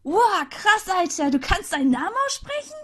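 A woman doing a loud, brash Australian teen boy. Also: very high voice, normal speed, voice pushed up, full character voice. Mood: excited. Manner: loud, excitable, slangy.

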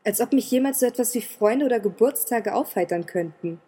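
A woman's voice: fairly high pitch, lively intonation, brisk, mellow, husky. Woman doing a cold, curt boy earl.

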